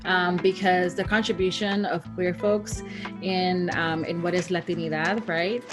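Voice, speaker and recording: A woman's voice: fairly low pitch, steady tone, measured pace. A woman from El Salvador, a street artist and photographer, speaking earnestly in an interview with frequent ums. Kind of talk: interview talk. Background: guitar music.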